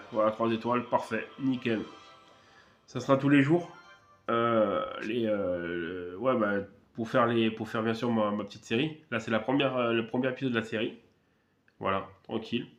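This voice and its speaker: A man with a cold giving relaxed, rambling commentary while playing a mobile strategy game, with many fillers and restarts. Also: medium pitch, reverberant. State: has a cold. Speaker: gaming channel host. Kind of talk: casual gameplay commentary.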